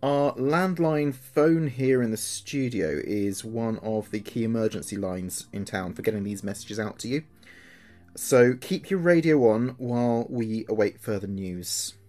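An actor playing an uneasy, earnest late-night local radio DJ in a horror audio drama, speaking haltingly. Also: male voice, on the low side, a little mellow, faint music behind, close to an everyday voice. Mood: grave, earnest. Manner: halting, hesitant, addressing listeners directly.

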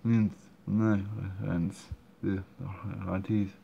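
A man commenting on a game he is playing, halting and full of fillers and restarts, recorded at home. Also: sits low, flat delivery, creaky. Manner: hesitant, many fillers and restarts. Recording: dull muffled recording, faint room noise.

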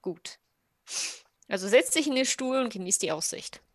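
A middle-aged woman improvises casual dialogue in a roleplaying game. Her voice is somewhat high, and it is recorded dry and without echo. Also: expressive, moderate pace. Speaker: roleplay player. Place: home room, online video call.

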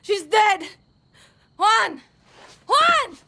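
A woman's voice: extremely high pitch, very animated, drawn-out words, gravelly, pushed and bright, a little hiss or hum, full character voice. An actress playing a blunt, defiant young female guerrilla in a video game. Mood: desperate. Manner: blunt, fiery. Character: defiant young woman guerrilla, hot-tempered, foul-mouthed. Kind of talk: cinematic video game acting.

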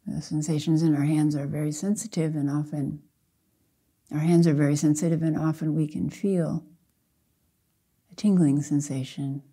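An older woman leading a guided meditation as a Zen teacher, speaking softly and gently in short, measured phrases with long pauses. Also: low voice, steady tone, slightly rough.

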